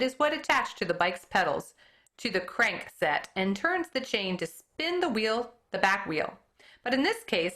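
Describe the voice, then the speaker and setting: A teacher reads a chapter book aloud in a bright, clear voice. Her pitch swings widely as she acts out the story. When a tricky name trips her up, she comments on it and keeps going. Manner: expressive storytelling, stumbles on hard names and comments on them. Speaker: elementary school teacher. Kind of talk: reading a children's book aloud.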